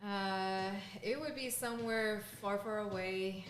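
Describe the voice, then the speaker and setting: Relaxed middle-aged female podcast guest. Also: mid-range voice, takes it slowly, a little hiss or hum. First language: Chinese. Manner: brief, relaxed, laughing answers. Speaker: guest, property management business owner. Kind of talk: casual interview answers. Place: home studio office. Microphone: studio mic on boom arm, headphones.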